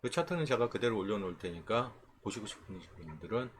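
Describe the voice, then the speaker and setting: A man with a fairly low voice explains things informally, like an instructor walking through a screen. His intonation swings widely, and he often checks in with short questions. There is clear background noise in the recording. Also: animated, fast. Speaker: stock trading instructor. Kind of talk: informal screen-share tutorial explaining stock charts.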